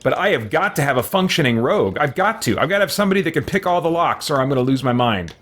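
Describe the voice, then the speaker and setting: A man's voice: fairly high pitch, very animated, fast talker, fairly bright tone. A man giving casual let's-play commentary, muttering to himself hesitantly and exclaiming mild oaths as he thinks aloud. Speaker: gaming video host.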